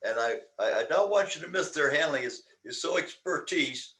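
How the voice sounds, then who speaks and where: Slightly high-voiced, mock-formal man. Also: lively intonation, normal speed, sharp tone. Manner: playful mock-solemn. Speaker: club member in a meeting. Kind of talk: mock-formal joking commentary. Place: online video meeting. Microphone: video call.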